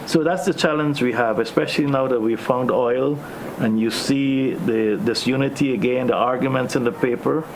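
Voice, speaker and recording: A Guyanese man leading a discussion, speaking in a measured way with many pauses and ums. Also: mid-range voice, noisy background. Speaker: host.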